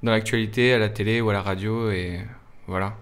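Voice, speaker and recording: Clear-voiced, hesitant man in casual chat. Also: on the low side, steady tone, brisk. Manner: hesitant, self-correcting. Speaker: interview guest, web series creator.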